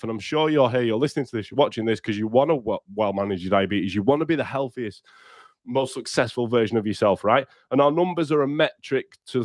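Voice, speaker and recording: A man's voice: medium pitch, no room sound, studio quality. A man hosting a casual livestream podcast while bunged up with a cold. He is blunt, sweary and upbeat, and talks straight to his viewers. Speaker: podcast host.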